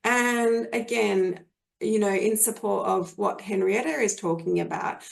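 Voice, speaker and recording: An Australian woman walks parents through early literacy skills in a friendly, explanatory webinar talk. Her voice has a gravelly, creaky edge and sits at a middling pitch. It sounds like it comes through a computer mic on a video call. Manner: friendly, explanatory, with frequent fillers and restarts. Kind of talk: webinar presentation.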